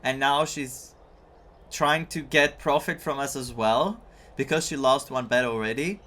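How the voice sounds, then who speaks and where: A woman's voice: extremely low pitch, unhurried, a bit bright. A woman giving casual playthrough commentary as a gaming streamer, with amused, wry asides. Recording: faint game music behind voice.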